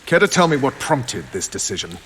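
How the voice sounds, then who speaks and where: An actor playing a gruff, cynical military commander in a video game, blunt and sardonic. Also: male voice, mid-range voice, sing-song, fairly quick, a bit bright, slight background noise, low-quality recording. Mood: indignant, sarcastic. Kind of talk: video game cutscene acting. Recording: faint rain and ambience behind.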